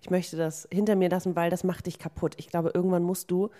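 Woman, podcast co-host, somewhat low voice, frank, advising, casual. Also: little pitch movement, fast, dark tone. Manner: frank, advising, blunt phrasing. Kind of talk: casual supportive conversation.

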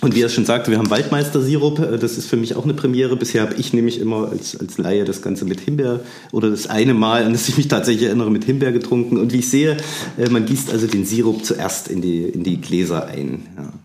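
A man's voice: brisk, hardly any pauses, reverberant. A man in his forties hosting a museum history podcast, chatty with frequent fillers and restarts. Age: 40s. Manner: chatty, many fillers and restarts, lively rises in pitch. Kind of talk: casual podcast chat.

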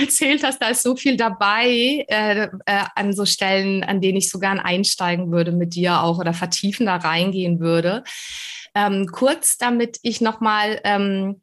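A woman's voice: medium pitch, moderate pace. A woman from Prague, a native Czech speaker, hosting an interview with affirming, engaged questions. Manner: warm, affirming, frequent hums of agreement. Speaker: interview host. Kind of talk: conversational interview.

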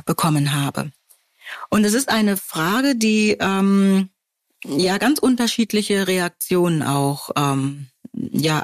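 A woman from Germany co-hosting a podcast, telling stories wryly and quoting people in lively voices. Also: medium pitch, dry recording. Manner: wry storytelling, quoting others in lively voices. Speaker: podcast co-host. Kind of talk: casual conversation.

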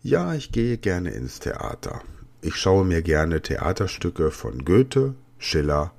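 Brief: native German man, lesson podcast host, animated delivery with a wide swinging pitch, jokey asides. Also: takes it slowly, creaky. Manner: lively, jokey asides in answers. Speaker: language teacher and podcast host. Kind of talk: lesson podcast, explaining then playful practice answers.

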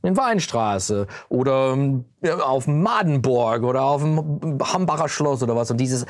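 A man's voice: medium pitch, moderate pace, no room sound. Man speaking learned German. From Pennsylvania, USA. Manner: searching for words, animated. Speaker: guest. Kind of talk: interview answer.

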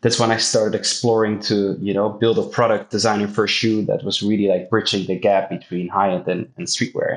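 A Dutch man in his thirties, a fashion brand founder, chatting loosely as an interview guest with many fillers. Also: fairly low pitch. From Netherlands. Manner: relaxed, talks in loose run-on sentences with fillers. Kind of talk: casual interview. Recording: echoey room.

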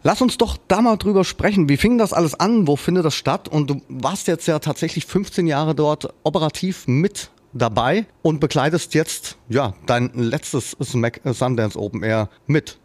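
Relaxed man hosting a casual interview. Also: a little high, moderate pace, dry recording. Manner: relaxed, steers the talk with questions. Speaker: podcast host.

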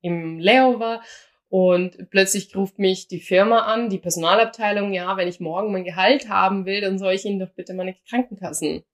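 A young woman chats casually as a podcast co-host. She talks in a lively, anecdotal way, often slipping into quoted back-and-forth. Her voice sits at an ordinary middle pitch for a woman. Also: moderate pace. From Austria. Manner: chatty, anecdotal, acts out quoted dialogue.

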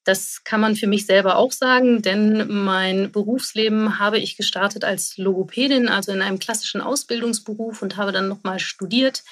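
Woman, 40s, mid-pitched, earnest, engaged interview guest. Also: steady tone, moderate pace, few pauses. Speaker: futurist, guest. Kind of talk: podcast interview.

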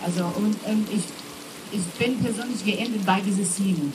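A woman's voice: mid-range voice, normal speed, background noise. A woman on a live festival panel, speaking hesitantly and searching for words, with many fillers. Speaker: festival booking team member. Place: festival tent in the rain.

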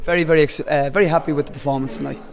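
A male coach speaks calmly after a fight, pausing with fillers as he gathers his thoughts. His voice sits a little high for a man. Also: gravelly. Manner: calm, hesitant, many fillers. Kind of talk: post-fight interview.

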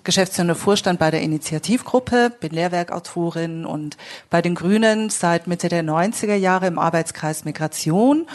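A woman in her fifties from Swabia, running for city council. She speaks in a lowish voice and lays out her background clearly and in detail. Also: moderate pace. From Swabia, Germany. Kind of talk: panel self-introduction.

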